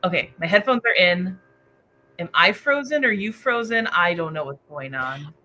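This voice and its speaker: Female livestream host, bright and animated, excitable book chatter, faint noise behind. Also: fairly low pitch, moderate pace. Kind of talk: casual livestream chat, reading out viewer comments.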